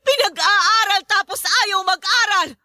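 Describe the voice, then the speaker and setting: An actress playing a short-tempered, nagging working-class mother in a Tagalog radio drama, berating her grown son with sharp exclamations. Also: very high voice, measured pace, no echo, heavily acted. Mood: exasperated, berating.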